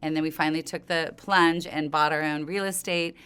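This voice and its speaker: A woman's voice: deep voice, fairly even intonation, fairly quick, fairly bright tone, clear, resonant tone. A middle-aged woman, a company co-founder, talking in an interview in an informal, rambling way that circles back mid-thought. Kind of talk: conversational interview storytelling.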